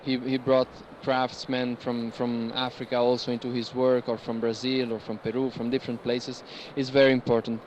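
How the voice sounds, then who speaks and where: Guy curator doing an informal walk-around art talk, average pitch, some background noise. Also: little pitch movement, moderate pace, few pauses, a little mellow. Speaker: curator guiding visitors through an art fair. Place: large indoor art fair among gallery stands. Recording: noisy fair hall ambience behind voice. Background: busy fair hall background noise.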